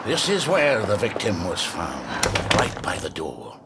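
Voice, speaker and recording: An actor playing a heavy-set constable in his late fifties in an audio drama, gruff, wary and grudging. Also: low voice, huge pitch swings, very noisy, heavily acted. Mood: uneasy, grudging. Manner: slow, grudging, condescending. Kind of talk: full-cast audio drama dialogue.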